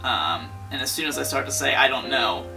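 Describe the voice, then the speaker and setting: Man putting on a low, hushed lovesick teenage boy. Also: expressive, a little fast, creaky, recorded from a distance, faint music behind, heavily acted, low-quality recording. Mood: earnest, brooding. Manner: hesitant, melodramatically earnest. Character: lovesick, self-serious teenage boy confessing a breakup. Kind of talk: mock dramatic reading of online post.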